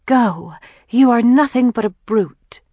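A woman's voice: on the high side, slow pace, warm tone, dry recording, studio quality, voice pushed up. A woman voicing a sarcastic, dramatic teenage older sister in an audiobook, with grandly theatrical delivery. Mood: melodramatic. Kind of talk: acted character dialogue in audiobook.

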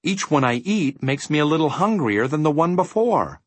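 An earnest young boy from a children's story, as a male narrator acts him. He speaks well above a man's usual pitch, curious and doubtful, with a slightly husky edge. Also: big pitch swings, moderate pace, dry recording. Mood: puzzled. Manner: earnest, questioning.

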